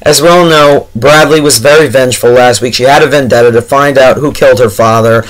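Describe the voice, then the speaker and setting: A man with a hoarse, tired voice giving a casual, chatty, somewhat halting TV episode review straight to his viewers. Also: mid-range voice, low-quality recording. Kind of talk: casual spoken review to camera.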